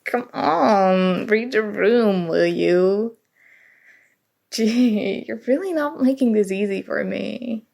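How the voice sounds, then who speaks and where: Hesitant, creaky-voiced young woman played by an actress. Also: medium pitch, darkish tone. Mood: nervous confession. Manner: hesitant, halting, sighing. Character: shy, awkward college-age former goth woman, nervously confessing. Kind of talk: intimate ASMR roleplay acting.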